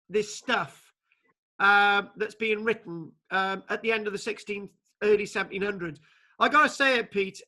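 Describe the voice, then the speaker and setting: A man's voice: sits high, pushed and bright. A man lecturing over a video call, stammering and repeating words, with many fillers as he leads a discussion. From Wales.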